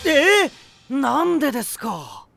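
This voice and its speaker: Rowdy high school loudmouth, cocky, lively swoops in pitch, anime drama. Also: male voice, slow pace, faint music behind, full character voice. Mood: bantering. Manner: boisterous, rough slangy talk. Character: brash, rowdy, cocky teenage boy. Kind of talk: anime audio drama acting.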